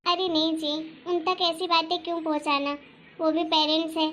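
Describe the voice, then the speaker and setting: Woman playing gentle, high-pitched dutiful wife. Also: little pitch movement, normal speed, a little hiss or hum. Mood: concerned, considerate. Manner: soft, reasoning, respectful. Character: gentle, considerate elder daughter-in-law, dutiful wife. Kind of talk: acted dialogue in Hindi family story.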